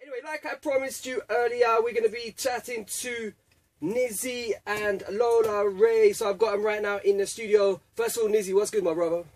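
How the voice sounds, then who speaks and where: A man's voice: sits high, steady tone, normal speed, darkish tone. A man hosting a casual radio interview in a studio, playful and teasing. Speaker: radio DJ host.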